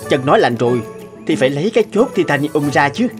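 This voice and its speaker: A man's voice: fairly high pitch, very animated, quick pace, music in the background. A man voicing a mild, caring married doctor in a cartoon, explaining things conversationally. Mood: casual, concerned. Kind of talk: Vietnamese cartoon dubbing.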